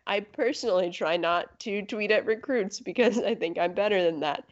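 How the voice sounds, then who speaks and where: A woman's voice: mid-range voice, normal speed. A young woman in her twenties co-hosting a podcast, rambling through casual chat with laughing asides and restarts. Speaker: podcast co-host. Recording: muffled lo-fi audio.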